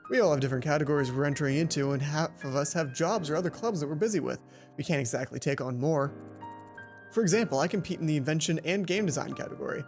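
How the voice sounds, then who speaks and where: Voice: medium pitch, quick pace, darkish tone, faint music behind, voice pushed down, close to an everyday voice. A let's play host voicing a matter-of-fact schoolboy in a gaming club, explaining things earnestly, from a visual novel.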